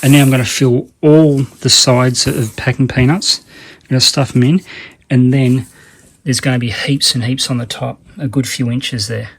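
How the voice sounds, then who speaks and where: A man's voice: mid-range voice, steady tone, normal speed, slightly rough. A man presenting a casual how-to demonstration in a rambling, colloquial way, often repeating himself for emphasis. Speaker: hobbyist video presenter.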